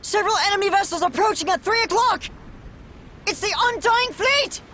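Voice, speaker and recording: A youthful lookout in a fantasy video game crew. Her voice is extremely high and urgent. Faint noise sits behind it. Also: expressive, moderate pace, bright, forceful tone, heavily acted. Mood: alarmed. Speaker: voice actor. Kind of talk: video game cutscene acting.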